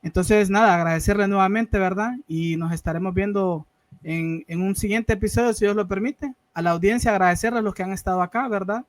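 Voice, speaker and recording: A man's voice: high-pitched, a little fast. A man hosting an online interview show, closing it politely and often adding a questioning tag, over a video call. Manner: polite, filler-heavy, repeats "¿verdad?". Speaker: host.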